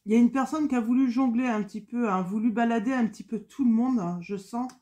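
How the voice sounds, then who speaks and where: A woman's voice: a little high, fast talker, dark tone. A woman with a cold, giving a casual spoken tarot reading with many fillers and repetitions. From France. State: has a cold. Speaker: tarot card reader.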